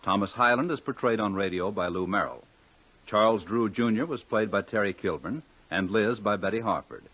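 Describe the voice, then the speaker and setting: A man's voice: lowish voice, normal speed, fairly bright tone, husky. A man with an American accent announcing in a scripted 1950s network radio style. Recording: muffled old radio broadcast. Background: music.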